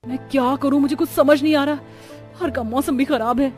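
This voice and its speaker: A woman doing snooty modern young wife in a cartoon, highish voice, drops english words, music behind. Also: fairly even intonation, brisk, natural voice. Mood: panicked. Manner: sprinkles English words into speech. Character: haughty modern young woman, snobbish sister-in-law.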